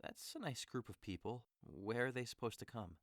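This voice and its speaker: A man's voice: mid-range voice, lively intonation, normal speed, no room sound, studio quality, lightly acted. A man playing a lovesick, melancholy young nobleman with dramatic, emotive delivery in an audio drama. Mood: polite, curious. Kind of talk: audio drama acting of modernized Shakespeare.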